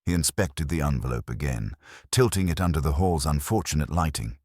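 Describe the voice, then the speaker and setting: A man narrating a period mystery story in a measured, dryly humorous manner. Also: a bass voice, animated, darkish tone, no room sound, studio quality.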